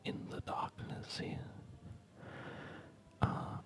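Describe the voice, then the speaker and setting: Casting: ASMR gaming host, fully whispered, slow and hesitant, softly rambling delivery. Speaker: host playing a strategy game. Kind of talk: ASMR whispered game commentary.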